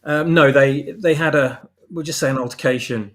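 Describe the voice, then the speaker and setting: A man's voice: on the high side, fairly even intonation, moderate pace, fairly bright tone. A man discussing his own story as a guest writer, speaking casually and hesitantly as he searches for words. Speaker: guest writer of a fan audio drama.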